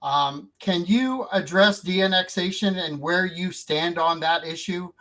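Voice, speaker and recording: Folksy male local radio host, casual, fillers, higher-pitched voice. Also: measured pace, pushed and bright. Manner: folksy, repetitive, many fillers. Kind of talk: community radio talk.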